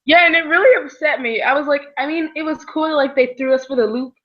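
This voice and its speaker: A woman's voice: high voice, brisk, muffled recording. A young woman co-hosting a casual book chat, telling her reactions informally as little quotes. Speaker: book discussion co-host.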